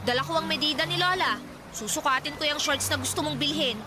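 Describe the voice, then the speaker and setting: Audio drama role: shy, prim fifteen-year-old girl played by a woman, high-pitched, grumbling, over clear background music. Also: fast, close to an everyday voice. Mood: fussy, nagging. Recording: loud music under the voice.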